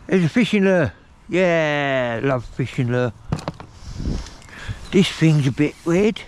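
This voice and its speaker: Brief: vlogger, unhurried, a bit higher-pitched, body-cam sound, light outdoor hiss. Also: male voice. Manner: slow, relaxed, remarks on each find. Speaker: magnet fishing vlogger. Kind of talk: casual commentary while magnet fishing. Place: canal towpath outdoors. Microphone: body-worn action camera.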